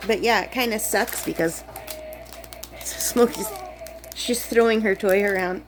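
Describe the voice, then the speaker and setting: A sick woman, chatting in a rambling way while crafting, her voice lower than usual. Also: measured pace, background noise. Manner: chatty, rambling, many fillers. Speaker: crafting vlogger. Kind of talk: casual chat while crafting. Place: camp cabin indoors.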